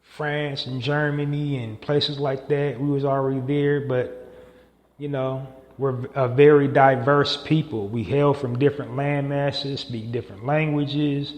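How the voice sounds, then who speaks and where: A man's voice: medium pitch, little pitch movement, unhurried. A man hosting a podcast solo, talking in a loose, rambling way with many fillers and restarts. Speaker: podcast host. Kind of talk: casual solo monologue. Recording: echoey room with long reverb.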